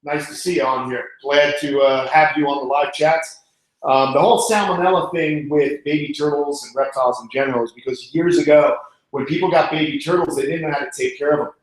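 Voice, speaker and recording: An American man from New York hosting an animal live stream, chatting informally and answering questions in a casual way. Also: on the high side. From Long Island, New York, United States. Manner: informal, chatty, calls people man and bud. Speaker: animal channel host. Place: indoor room. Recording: very echoey, reverberant room.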